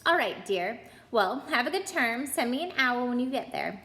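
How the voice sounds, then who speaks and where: A bustling mum of a big brood, acted by a woman reading aloud, fond yet firm. Her voice is fairly high and very animated, in storybook style. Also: normal speed. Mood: fond, brisk. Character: fond but firm bustling mother of many children. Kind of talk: storybook read-aloud character voice.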